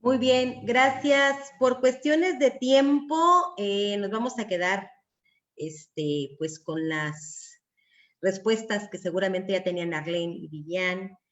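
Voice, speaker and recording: A woman's voice: mid-range voice, slow. A woman with a Mexican accent moderating an online panel discussion. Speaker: secondary school teacher. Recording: dull lo-fi video call audio.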